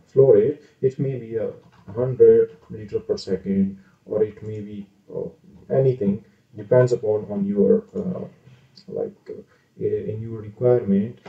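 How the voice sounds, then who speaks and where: Low-voiced man in a roomy, dull recording. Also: vocal fry. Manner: hesitant, many "uh" fillers and self-corrections. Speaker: channel host explaining an engineering calculation. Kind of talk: casual screen-share tutorial. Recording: roomy, distant, dull lo-fi sound.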